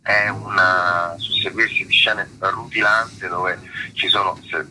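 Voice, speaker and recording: A man's voice: fairly low pitch, steady tone, slow, pushed and bright, a little hiss or hum, rough recording. A man, an actor giving reflective, conversational interview answers and searching for his words. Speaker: actor being interviewed about a play.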